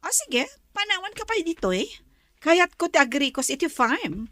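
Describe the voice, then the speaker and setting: Actress as high, lilting Filipino young lady. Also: big pitch swings, moderate pace, bright, forceful tone, no echo, exaggerated voice. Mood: polite, hesitant. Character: courted young lady boss, polite and hesitant. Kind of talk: dialogue in an Ilocano serial drama.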